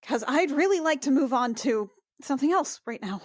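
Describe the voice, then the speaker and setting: A woman playing a flustered, weary noblewoman healer in a tabletop game, talking in a halting, apologetic way. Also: sits high, animated, no room sound, clean studio sound, pitched above the performer's own voice. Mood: weary, impatient.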